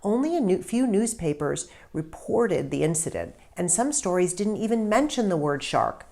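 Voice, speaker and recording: Woman, lowish voice, expressive storytelling narration, deliberate phrasing, emphatic stresses, author reading aloud. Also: vocal fry.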